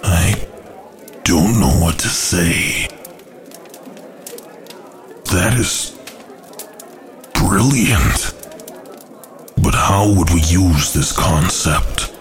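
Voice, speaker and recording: A man's voice: sits low, drawn-out words, pauses often, full character voice. A man playing a scheming rebel angel in an audio drama, grave and persuasive, with solemn, formal diction. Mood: awed.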